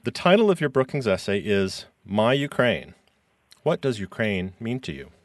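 A man's voice: fairly low pitch, big pitch swings, moderate pace, no echo, far from the mic. A man hosting a podcast, who reads a scripted introduction and then asks personal questions. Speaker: podcast host. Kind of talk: interviewing a guest.

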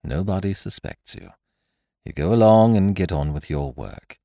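A man's voice: low-pitched, animated, normal speed, dark tone, no room sound, studio quality. A man voicing an impatient, matter-of-fact middle-aged police inspector. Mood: brisk.

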